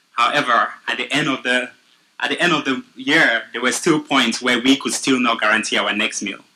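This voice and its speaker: Cameroonian man, young adult, very bright tone; earnest, steady pitch speaker. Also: rough recording. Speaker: nonprofit founder pitching. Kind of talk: prepared pitch speech.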